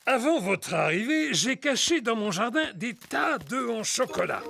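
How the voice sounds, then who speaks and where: A man's voice: very high-pitched, big pitch swings, a little slow, creaky, exaggerated voice. An actor playing a jolly, doting grandfather pig in a French children's cartoon dub. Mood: proud, chuckling. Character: jolly doting grandfather pig who loves his garden. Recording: music behind the voice.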